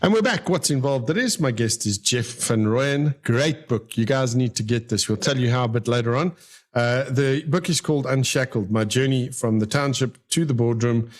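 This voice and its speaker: A man's voice: mid-range voice, moderate pace. A man in his fifties hosting a radio interview, talking in a rambling way with many fillers and restarts. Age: 50s. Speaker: radio host. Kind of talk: casual interview chat.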